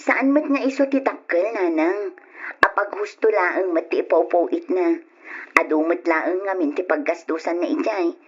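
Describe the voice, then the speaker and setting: An actress playing an overseas worker's wife and dutiful daughter in an old-style radio drama, speaking with an Ilocano accent. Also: female voice, lowish voice, normal speed, slight background noise, lightly acted. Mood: measured. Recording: dull lo-fi radio sound.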